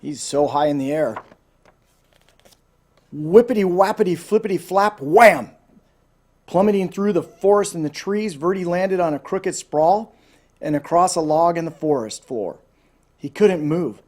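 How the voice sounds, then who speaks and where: A man with a somewhat high-pitched voice reads a children's storybook aloud. He tells it expressively and drops into informal asides as a storyteller would. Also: unhurried, long pauses, a little mellow. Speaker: storybook reader.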